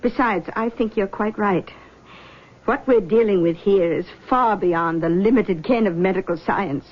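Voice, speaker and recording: A woman playing a doting, controlling middle-aged mother in a 1970s radio drama, with a husky voice and a coaxing manner. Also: mid-range voice, unhurried, lightly acted. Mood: persuasive. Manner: coaxing, cooing endearments. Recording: dull, lo-fi 1970s radio recording.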